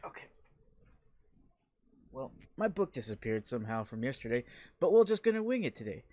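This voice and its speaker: A male host of a casual online show talks in a loose, rambling way, full of fillers and restarts. His pitch sits in an ordinary middle range. The sound is dry, with almost no room echo. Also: very animated, brisk, a little mellow. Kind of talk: casual online interview with a role-played intro.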